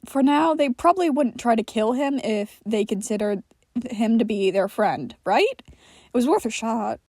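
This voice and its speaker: A woman's voice: on the high side, expressive, measured pace, darkish tone, no room sound, studio quality. A woman reading scripted fiction aloud as the narrator of a story recording. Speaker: podfic narrator.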